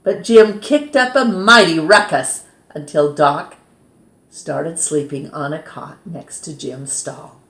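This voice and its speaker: Slow, echoey woman reading a children's story. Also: low-pitched, a bit bright. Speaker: storytime host reading a children's book aloud. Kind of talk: expressive read-aloud storytelling for children. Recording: roomy, echoing sound.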